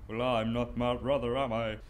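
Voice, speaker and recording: A man's voice: mid-range voice, level tone, normal speed, slight background noise, close to an everyday voice. A man playing an arrogant, coolly analytical male detective in an amateur audio drama, speaking in a superior, matter-of-fact way. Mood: defiant.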